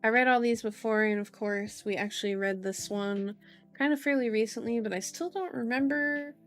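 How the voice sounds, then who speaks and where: A woman's voice: on the high side, moderate pace, faint music behind. A sleepy woman hosting an ASMR stream, chatting casually to viewers in a cutesy, playful, rambling way.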